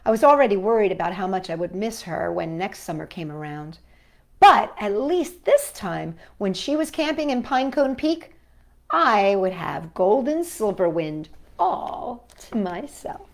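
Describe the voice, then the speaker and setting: A woman hosting a children's storytime, reading picture books aloud and singing a call-and-response song with a puppet, in a gentle, sing-song way. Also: mid-range voice, sing-song, unhurried.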